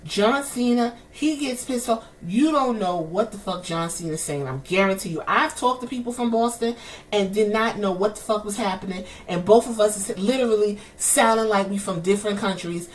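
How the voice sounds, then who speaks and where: A woman's voice: medium pitch, moderate pace, distant mic. A woman with a New York accent, hosting a podcast and ranting in heated, profane commentary. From New York City. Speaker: podcast host.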